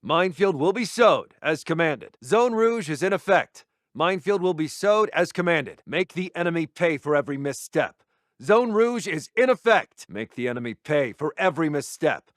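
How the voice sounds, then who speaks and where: A man playing a brisk, dutiful military intelligence officer in a video game, giving clipped, formal reports to a commander. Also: on the high side, animated, no room sound, clean studio sound, close to an everyday voice. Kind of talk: video game voice lines, battlefield radio reports.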